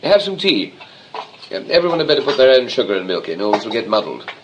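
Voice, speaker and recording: A man's voice: medium pitch, very animated, fast, noisy background, close to an everyday voice. An actor playing a vague, abrupt middle-aged English father in a radio comedy. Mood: brisk, puzzled. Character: absent-minded, gruff middle-aged father of a bohemian household. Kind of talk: radio play comedy acting.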